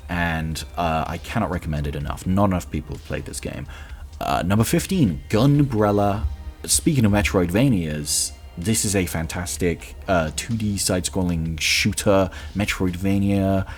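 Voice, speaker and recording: Male podcast host, low register, keen and emphatic reviewer, music faintly underneath. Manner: enthusiastic, emphatic. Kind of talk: conversational countdown review of favourite games.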